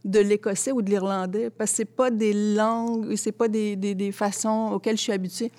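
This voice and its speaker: A woman with a Quebec French accent speaks as an interview guest. Her voice sits at a middle pitch. She is reflective and expressive, circling back on words as she looks for the right phrase. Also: normal speed. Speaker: interview guest, theatre translator. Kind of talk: reflective, conversational interview answers.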